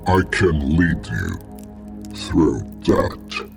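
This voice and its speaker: An ancient necromancer intones in an extremely deep voice, slow and solemn. The delivery is gravelly and portentous, in formal archaic phrasing, as audio drama acting over clear background music. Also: male voice, very animated, heavily acted. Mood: commanding, mystical. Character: solemn ancient male necromancer gatekeeper of a deathless realm.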